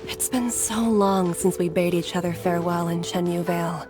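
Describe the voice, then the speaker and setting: An actress voicing a gentle, regretful middle-aged woman doctor in a video game, speaking in a measured, earnest way with sighs. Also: lowish voice, fairly even intonation, close to an everyday voice. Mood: reflective, probing. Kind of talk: dramatic video game voice acting. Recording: background music under the voice.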